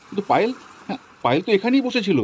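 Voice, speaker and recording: A man's voice: sits high, very animated, rapid-fire, slightly rough, exaggerated voice. A man playing a devoted young husband searching for his wife, calling out anxiously. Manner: calling out loudly, anxious and urgent. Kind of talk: amateur home-video drama.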